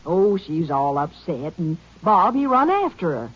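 A woman's voice: on the low side, sing-song, normal speed, slightly rough. A middle-aged woman playing a worried rural mother in an old radio drama, folksy and anxious. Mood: defensive. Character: worried rural mother defending her son. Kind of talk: 1950s radio acting. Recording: dull old radio recording.

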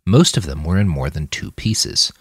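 Deep-voiced man narrating with wide swings. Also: sing-song, moderate pace, breathy edge, no room sound. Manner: dramatic, acts out character dialogue. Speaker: author reading his fiction podcast. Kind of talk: scripted audiobook narration.

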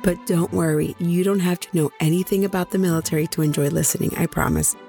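Hoarse, gravelly woman hosting a podcast. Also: fairly low pitch, steady tone, quick pace, warm tone, faint music behind. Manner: chatty, direct address to listeners. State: nearly lost voice, hoarse. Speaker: podcast host. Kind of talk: true crime podcast narration.

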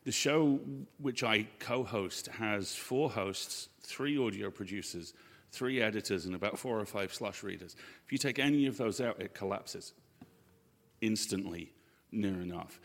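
A man speaking as a panel guest in a live discussion, making his points in a measured, emphatic way. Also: lowish voice. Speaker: panel guest and podcast co-host. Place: hall at a live convention panel. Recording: echoey hall with long reverb.

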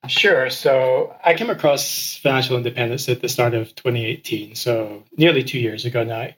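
A man who grew up in Ecuador, a podcast guest telling his story in a relaxed conversational way, often repeating words as he restarts sentences. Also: medium pitch, moderate pace, rough recording. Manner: frequent word repeats and restarts.

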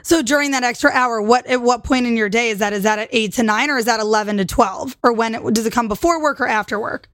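A woman's voice: fairly high pitch, fast talker, hardly any pauses, fairly bright tone, no echo, studio quality. A woman hosting a podcast interview, upbeat and encouraging, who swears casually. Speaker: podcast host.

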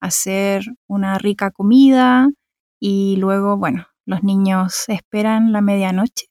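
Slow-talking Chilean woman. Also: mid-range voice, fairly even intonation, a little mellow, no room sound, studio quality. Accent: Chilean, Santiago. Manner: unhurried, with filler words. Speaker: interview guest. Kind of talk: casual conversational answers.

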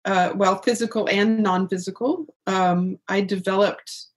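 A middle-aged woman speaking earnestly and reflectively as an interview guest about purpose and spirit, with soft laughs. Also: mid-range voice, steady tone, unhurried. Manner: earnest, searching, laughs softly. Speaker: guest, author on life purpose.

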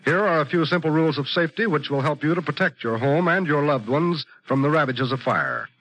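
A man's voice: a little high, big pitch swings, a little fast, a bit bright, a little hiss or hum, low-quality recording. A man with an American accent announcing in an emphatic, formal 1950s radio style. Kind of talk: 1950s radio announcing, scripted public service message.

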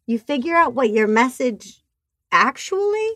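Nasal-voiced woman chatting on a podcast, really expressive, bit high, dry sound. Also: measured pace, no echo. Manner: expressive, animated storytelling. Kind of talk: casual chat. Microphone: remote call.

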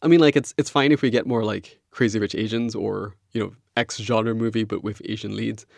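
Podcast host guy, mid pitch, lots of vocal fry, super dry recording. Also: steady tone, brisk, darkish tone, no room sound. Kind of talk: conversational interviewing, scripted credits.

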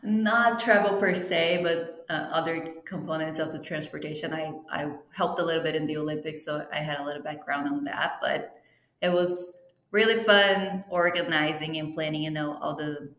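A woman whose first language is Portuguese chats as an interview guest, slipping in fillers and restarting her sentences. Her voice sits a little low and rings in a noticeably echoey room. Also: a bit bright. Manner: chatty, with frequent fillers and restarts. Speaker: interview guest, team travel planner. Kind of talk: casual interview chat.

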